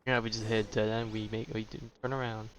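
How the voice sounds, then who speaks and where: A man's voice: on the low side, flat delivery, fairly quick, fairly bright tone, slight background noise. A man giving casual gameplay commentary with wry, joking asides. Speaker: game streamer. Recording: dull lo-fi microphone sound. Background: game music.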